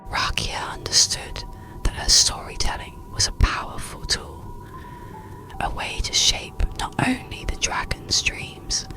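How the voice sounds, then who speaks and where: A storyteller whispers a scripted bedtime tale in ASMR style. The voice is breathy and hushed, with a faint crackle in it. The phrasing is soothing and unhurried. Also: deep voice. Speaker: audiobook narrator.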